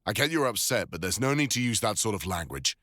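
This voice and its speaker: A man with a deep British voice playing a devoted, teasing older boyfriend and father in an intimate ASMR audio roleplay. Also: big pitch swings, fast, a bit bright, no echo, clean studio sound. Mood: upset, pleading.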